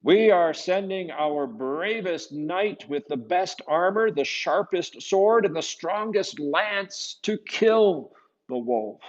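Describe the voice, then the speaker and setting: A man's voice: high-pitched, expressive, takes it slowly, lo-fi sound, voice pushed up. A man playing confident, boastful medieval town leaders in a children's storybook, proclaiming grandly.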